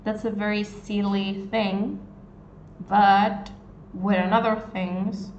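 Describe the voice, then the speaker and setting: A woman's voice: mid-range voice, little pitch movement, darkish tone. A woman in her twenties from Argentina, a native Spanish speaker with an Argentine accent, answering a question on a live lesson and searching for words in English. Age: 20s. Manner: unhurried, searching for words with many ums and restarts. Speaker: Spanish tutor. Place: small room indoors. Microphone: phone at arm's length. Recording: echoey, dull phone audio with faint noise.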